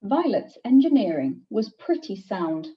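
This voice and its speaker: A woman reading a children's picture book aloud in an expressive, deliberate teacher's storytelling manner. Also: medium pitch. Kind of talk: scripted picture-book narration. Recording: distant, slightly dull microphone.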